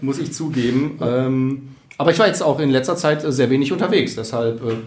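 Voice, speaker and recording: A man's voice: mid-range voice, brisk, darkish tone. A man co-hosting a casual chat, easygoing and a bit hesitant, using fillers. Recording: roomy echo.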